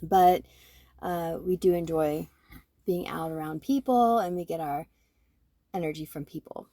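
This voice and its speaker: A woman in her forties, co-hosting a podcast, talking through her own thoughts in an animated way and often checking in with "right?". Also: on the low side, unhurried, no echo. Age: 40s. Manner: animated, self-reflective, fond of tag questions like "right?". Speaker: podcast co-host. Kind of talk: casual conversation.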